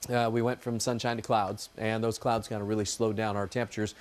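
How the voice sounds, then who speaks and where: A man's voice: lowish voice, little pitch movement, fairly quick. A man giving an ad-libbed TV weather forecast in a chatty, folksy, informal way.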